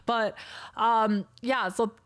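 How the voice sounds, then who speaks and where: A young woman casting an esports livestream solo, hoarse from losing her voice, chatty and rambling as she reads out scores and viewer chat. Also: fairly high pitch, fairly even intonation, extremely slow, dry recording, clean studio sound. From Wisconsin. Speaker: esports caster.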